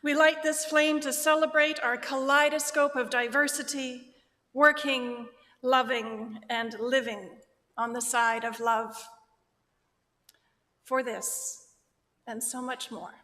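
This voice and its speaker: A woman slowly reading a solemn chalice-lighting litany in a church service, with deliberate phrasing. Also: a little high, steady tone, bright, forceful tone. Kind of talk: slow solemn liturgical reading. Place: church sanctuary. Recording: long hall reverb.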